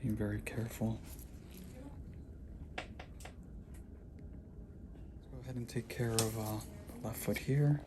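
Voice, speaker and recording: A calm, focused podiatrist from Puerto Rico, quietly explaining as he treats a patient in his clinic. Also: fairly low pitch, a little slow, pauses often. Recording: noisy clinic room.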